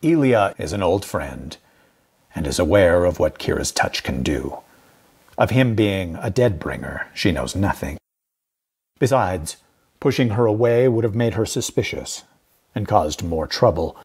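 An actor playing a guarded, haughty guardian who speaks formally and curtly in an audio drama. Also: male voice, low-pitched, expressive, a little slow, darkish tone, husky, full character voice. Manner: formal, curt, dry.

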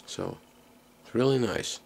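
A man giving a casual, unscripted hands-on demonstration in a low, creaky voice, recorded on a handheld camera microphone. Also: unhurried. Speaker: hobbyist video maker. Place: small indoor workroom.

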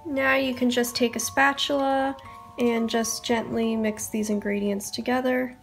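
A woman's voice: on the high side, flat delivery, measured pace, over loud music. A young woman who is sick with a cold, giving a casual baking tutorial in a home kitchen. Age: young adult. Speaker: baking vlogger. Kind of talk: casual recipe tutorial narration.

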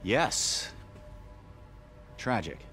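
An actor playing a middle-aged businessman, polite and measured with veiled menace, in an understated video game style. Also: on the low side, big pitch swings, a bit bright, soft background music, full character voice. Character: composed, veiled-menace businessman and shelter boss.

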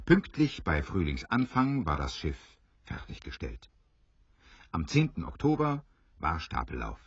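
Man, audio drama narrator, scripted storytelling, very low pitch, expressive intonation, crisp tone. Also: no room sound, highs cut off.

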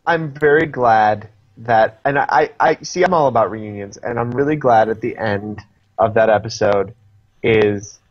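A young man guesting on a video-call podcast, talking eagerly in quick bursts and laughing, recorded through a webcam in a small room. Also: lowish voice, no echo, dull audio. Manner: animated, enthusiastic, fast bursts, laughing. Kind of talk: casual chat reviewing a TV episode.

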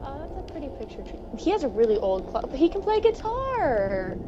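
High-voiced woman reading a game aloud, muffled. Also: big pitch swings, a little fast. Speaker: gaming video co-host. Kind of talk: reading visual novel text aloud with casual commentary. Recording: dull, noisy home recording.

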